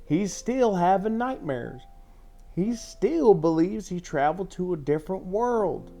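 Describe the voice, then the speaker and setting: A blunt, weary small-town sheriff dad, acted by a male narrator, talks with loving firmness and a somewhat raised pitch. A faint music bed runs beneath the storybook dialogue. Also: lively intonation, unhurried, dark tone. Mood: exasperated.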